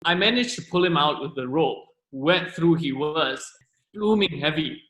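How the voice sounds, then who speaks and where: A man's voice: a little high, lively intonation, moderate pace, fairly bright tone. An actor playing an indignant orchard owner telling the court what he saw. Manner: indignant, vivid storytelling. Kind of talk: amateur radio drama. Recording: echoey room.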